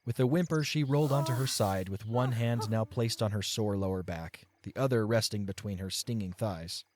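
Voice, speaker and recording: A man's voice: fairly low pitch, moderate pace, darkish tone, a little hiss or hum. A man narrating scripted fiction as the storyteller of an audio play.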